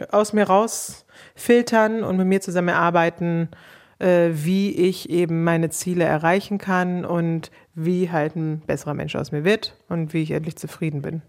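Lowish-voiced young woman telling her story. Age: young adult. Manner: colloquial, with English slang mixed in. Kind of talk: casual spoken recollection.

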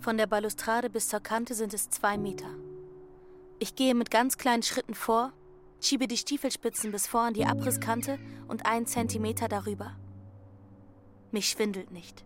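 A woman's voice: on the high side, fairly even intonation, normal speed, over music, lightly acted. Woman playing a brooding, dreamy runaway teenage girl. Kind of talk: first-person radio drama narration with dialogue.

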